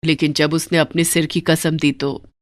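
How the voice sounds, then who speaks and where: A woman's voice: low-pitched, flat delivery, moderate pace, dry recording, studio quality. A woman narrating a story in a dramatic, scripted storytelling style.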